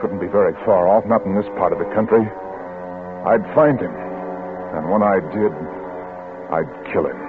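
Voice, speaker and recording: An actor playing a shaken, grieving father who narrates haltingly in a 1950s radio drama. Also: sits low, music in the background, lightly acted. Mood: cold vengeance. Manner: halting, hushed, dramatic pauses. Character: shaken, grieving father turning coldly vengeful. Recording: tinny vintage 1950s radio recording.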